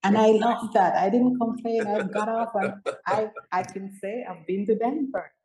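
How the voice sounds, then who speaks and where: A woman's voice: medium pitch, fairly even intonation, normal speed, roomy sound, crowd noise, rough recording. A woman born in Jamaica, speaking earnestly as an interview guest. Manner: earnest, repeats herself, fillers like "you know". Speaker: guest, women's mentor. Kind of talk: conversational interview.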